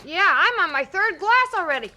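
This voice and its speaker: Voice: extremely high pitch, very animated, normal speed, sharp tone, full character voice. An actor playing an eager, earnest little boy, the youngest of a gang, in a 1970s radio drama. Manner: earnest, inquisitive. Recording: noisy old radio recording.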